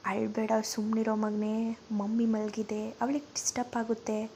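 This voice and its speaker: A woman's voice: on the high side, little pitch movement, normal speed, warm tone, a little hiss or hum, lightly acted. A woman voicing a flustered young father. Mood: soothing.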